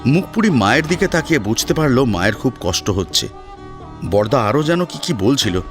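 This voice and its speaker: A man's voice: mid-range voice, sing-song, normal speed, faint music behind. A man narrating a scripted story in a dramatic storytelling style.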